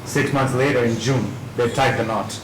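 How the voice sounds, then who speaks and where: A man reading literary fiction aloud in a dramatic narrating style, with measured phrasing. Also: mid-range voice, fairly even intonation. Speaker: reader at a dramatised book reading. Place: indoor event room at a live reading. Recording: echoey room with clear background noise.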